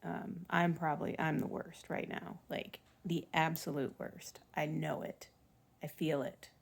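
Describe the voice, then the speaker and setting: Raspy, gravelly-voiced woman, forties, family vlogger, chatty and self-deprecating. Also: sits low, level tone, measured pace. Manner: chatty, rambling, self-deprecating with small laughs. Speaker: vlogger mom. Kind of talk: rambling casual vlog chat.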